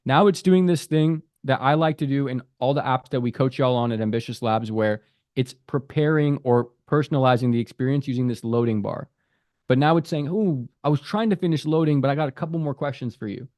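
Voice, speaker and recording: A man's voice: mid-range voice, a little fast, a little mellow, no room sound. Dry-voiced man talking through an app. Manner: conversational, reads app prompts aloud and comments. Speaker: app developer reviewing an app. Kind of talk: casual screen-recorded app walkthrough.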